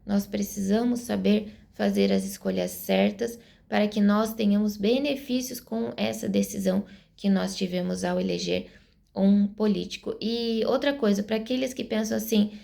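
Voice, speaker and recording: A woman's voice: medium pitch, lively intonation, moderate pace, creaky, dark tone. A Brazilian woman in her forties giving her opinions to camera in an earnest, explanatory way, thinking aloud as she talks. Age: 40s. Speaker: vlogger.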